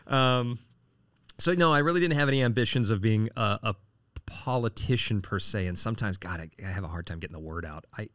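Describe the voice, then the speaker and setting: A man's voice: on the low side, fairly quick, fairly bright tone, dry recording, clean studio sound. A man in his late forties, a city council candidate answering interview questions casually, with lots of fillers and restarts. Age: 40s. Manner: rambling, frequent fillers and restarts.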